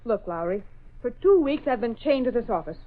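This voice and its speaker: An actress playing a sassy, quick-witted young newspaper photographer who talks in wisecracks in a 1930s radio drama. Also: female voice, a little high, animated, lightly acted. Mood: sassy, bargaining. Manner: wisecracking, slangy. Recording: muffled band-limited old radio recording.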